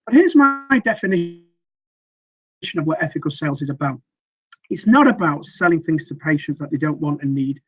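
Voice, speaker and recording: A middle-aged male coach gives a slide presentation, his voice a touch high and slightly croaky. He talks earnestly and loosely, stumbling over restarts and fillers. The recording sounds dull and lo-fi. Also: animated, moderate pace. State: slightly croaky from weeks of teaching. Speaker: sales communication coach.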